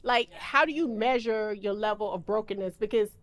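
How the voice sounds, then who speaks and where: A woman's voice: medium pitch, moderate pace, a loud crowd. A woman talking as a panel guest in casual chat, probing with questions and often repeating herself.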